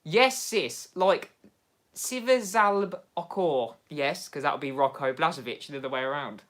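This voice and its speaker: Chatty Midlands lad hosting a livestream. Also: male voice, on the high side, a little slow. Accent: English Midlands. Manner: chatty and excitable, laughs often, bouncing between topics. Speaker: livestream host. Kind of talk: casual livestream chat reading viewer comments.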